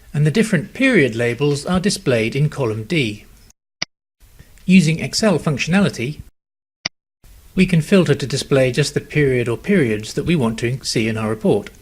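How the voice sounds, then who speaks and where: A man narrating a scripted software tutorial in a measured, step-by-step instructional manner. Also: mid-range voice, breathy edge.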